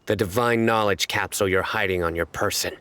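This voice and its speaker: An actor playing a stern, uncompromising enforcer and hunter in a video game, with a formal, grave, commanding delivery. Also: male voice, on the low side, fairly quick, sharp tone. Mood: grave, warning. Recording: studio voice under prominent music.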